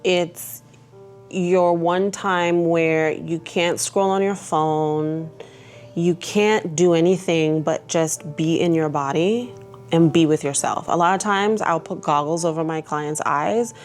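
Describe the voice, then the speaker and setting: A woman's voice: lowish voice, fairly even intonation, slow, darkish tone. A woman from Trinidad and Tobago speaking candidly and conversationally about herself in a reflective documentary interview. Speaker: aesthetician and entrepreneur. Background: background music.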